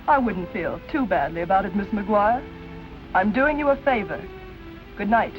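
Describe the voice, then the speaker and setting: An actress playing a cold, vindictive wife in a vintage film noir, curt, commanding and coolly sarcastic. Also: female voice, medium pitch, animated, moderate pace, over music, natural voice. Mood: cold, threatening. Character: cold, vindictive wife back from presumed death. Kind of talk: 1940s film noir acting. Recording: dull vintage film soundtrack.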